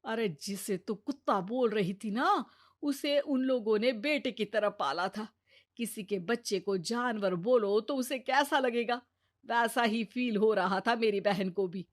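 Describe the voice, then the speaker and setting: A woman plays an older mother-in-law from a moral-story cartoon. Her voice is fairly high, and she chides in a blunt, exasperated way. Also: unhurried, close to an everyday voice. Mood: scolding, exasperated. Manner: blunt, chiding.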